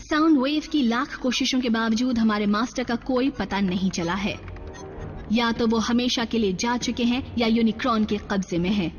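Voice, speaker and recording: Woman as a high-voiced scheming robot commander. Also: moderate pace, natural voice. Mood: calculating, persuasive. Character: scheming female robot commander, smooth and persuasive. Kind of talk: Hindi-dubbed action cartoon acting, speechmaking. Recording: dull sound with music behind.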